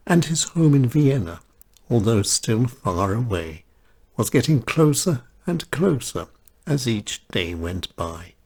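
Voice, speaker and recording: A man with a husky voice reading short stories in a measured, dramatic way, with short phrases and pauses. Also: on the low side, mellow. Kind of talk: scripted short story narration.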